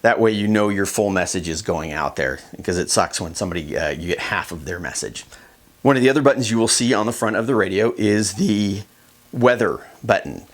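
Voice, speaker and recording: A man giving a casual, plain-spoken instructional demonstration in a conversational way. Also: lowish voice. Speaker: kayak fishing instructor.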